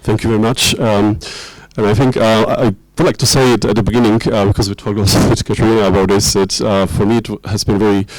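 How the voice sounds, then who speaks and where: A young man from Czechoslovakia, a lecturer in international security, giving a conference talk with many pauses and restarts. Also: on the low side, level tone, darkish tone, no echo, low-quality recording. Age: young adult. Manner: hesitant, many ums and restarts.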